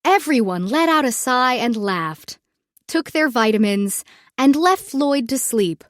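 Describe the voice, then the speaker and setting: A woman reads a children's story aloud. Her voice is fairly high and swings widely in pitch as she takes the scripted narration at an unhurried pace, expressively and clearly meant for young children. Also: lively intonation, no room sound, clean studio sound.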